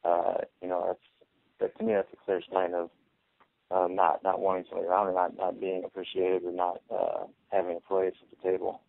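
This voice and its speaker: Low, monotone man on a phone line. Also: brisk, gravelly, no echo. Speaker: fighter on a media conference call. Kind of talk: answering press questions. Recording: tinny, narrow phone line.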